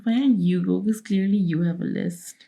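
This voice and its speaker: Soft-spoken sarcastic woman with vocal fry. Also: mid-range voice, normal speed. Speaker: podcast co-host. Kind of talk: casual chat.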